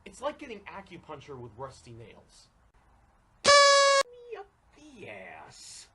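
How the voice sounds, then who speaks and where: A woman's voice: medium pitch, wildly swooping pitch, slow pace. A woman delivering a theatrical comic rant in a melodramatic, exasperated and emphatic way. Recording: very noisy recording.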